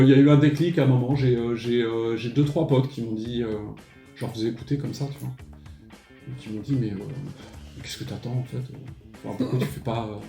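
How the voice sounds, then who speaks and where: A man's voice: mid-range voice, a little mellow, reverberant. A man in his forties from Paris, a musician guest talking casually with many hesitations and restarts. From Paris, France. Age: 40s. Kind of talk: casual interview chat.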